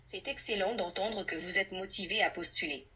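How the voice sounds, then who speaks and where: A woman's synthetic assistant voice, husky, speaking fluently and politely as a tutor in a conversational role-play. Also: mid-range voice, normal speed, sharp tone. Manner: polite, fluent, helpful, orderly. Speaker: AI language tutor playing an employer.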